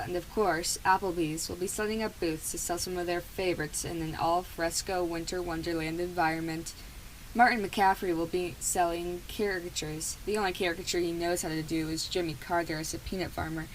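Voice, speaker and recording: Woman as a low-pitched deadpan radio host, hissy. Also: natural voice, low-quality recording. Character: earnest, deadpan small-town community radio host. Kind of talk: scripted radio announcements.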